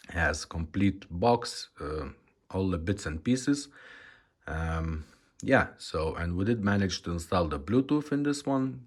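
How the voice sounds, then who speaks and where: Male demo presenter, lively intonation but slow, low-key and halting delivery, casual tone. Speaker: presenter demonstrating a retrofitted car radio.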